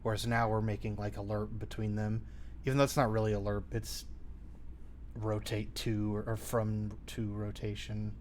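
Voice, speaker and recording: Young man, slightly low voice, casual informal streamer chat, light background noise. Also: level tone, normal speed, darkish tone. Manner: informal, hedging with "like". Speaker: streamer programming a game.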